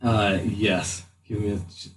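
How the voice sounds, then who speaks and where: A man's voice: lowish voice, level tone, unhurried, a little hiss or hum. A man narrating a tabletop game as game master. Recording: roomy, echoey distant mic.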